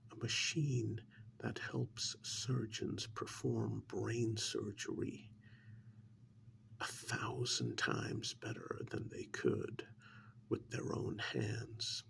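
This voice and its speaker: A man playing a sly, insinuating interrogator in an ASMR role-play, speaking in a slow, deliberate, soft whisper with mock admiration and dramatic pauses. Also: lowish voice, heavily acted. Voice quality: breathy whisper with gravelly creak. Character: sly, insinuating male interrogator needling a mad scientist.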